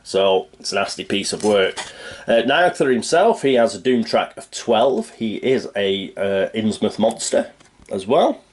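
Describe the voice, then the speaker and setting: A man's voice: fairly low pitch, animated, a little slow. A man with a stuffy nose from a cold, chatting casually through a board game setup and thinking aloud with plenty of fillers. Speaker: board game channel host.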